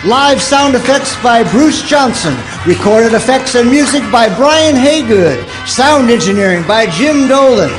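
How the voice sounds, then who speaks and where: Man as a high-pitched, lively radio announcer over music. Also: unhurried, few pauses, rough recording. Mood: formal sign-off. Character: polished old-time radio network announcer. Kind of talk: 1940s radio advertising and sign-off. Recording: prominent music under the voice.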